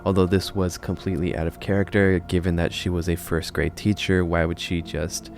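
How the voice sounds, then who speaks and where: A man's voice: low-pitched, level tone, fairly quick, creaky. A man narrating a horror video essay in a conversational, storytelling way. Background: background music.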